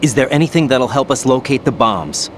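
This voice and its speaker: A man's voice: medium pitch, a little fast, slight background noise, lightly acted. An actor playing a young male soldier, an unsure rookie who keeps asking questions uneasily. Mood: uneasy. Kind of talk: video game cutscene dialogue.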